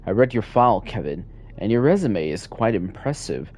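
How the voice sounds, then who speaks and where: A man streaming a game, chatting casually and reading in-game notes aloud in a relaxed way. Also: on the low side, normal speed, slight background noise. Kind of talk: casual gameplay commentary.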